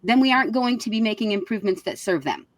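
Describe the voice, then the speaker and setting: A woman's voice: medium pitch, animated, fast, dry recording. A woman speaking as a panel guest, conversational and often checking that listeners follow. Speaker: school board member, podcast guest.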